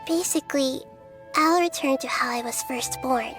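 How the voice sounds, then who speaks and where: A woman voicing a young goddess in a child's form in a video game, calm, soft-spoken and resolute. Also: sits high, moderate pace, over music, close to an everyday voice.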